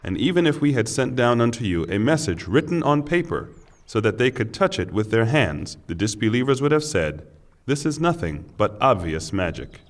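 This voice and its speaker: A man reading a scripture translation aloud as narrator, in solemn, measured phrases. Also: mid-range voice, sing-song.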